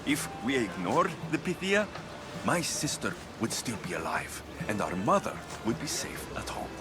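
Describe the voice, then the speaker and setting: An actor playing a brooding, hot-tempered young mercenary warrior in a video game. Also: male voice, medium pitch, wildly swooping pitch, normal speed. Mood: bitter. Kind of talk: video game cutscene acting. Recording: background music under the voice.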